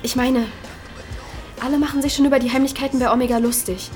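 A woman plays a troubled twenty-year-old in an audio drama. Her voice is high, her talk youthful, slangy and hesitant but determined. Music runs faintly behind it. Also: flat delivery, a little mellow, close to an everyday voice. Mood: earnest, suspicious. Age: young adult. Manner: casual, youthful slang, halting. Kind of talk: naturalistic audio drama dialogue.

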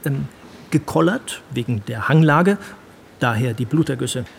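An actor playing a sceptical, matter-of-fact doctor with a sardonic edge in a naturalistic radio drama. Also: male voice, medium pitch, animated, unhurried, vocal fry, slight background noise. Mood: sceptical, wry. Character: sceptical male doctor briskly reporting a suspicious case.

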